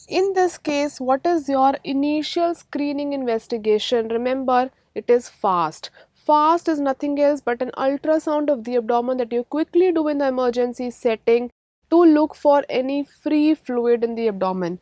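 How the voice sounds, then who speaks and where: A woman's voice: sits high, darkish tone, no echo. A woman with an Indian accent teaching a medical exam-prep lecture, emphatically repeating key points and checking understanding with questions.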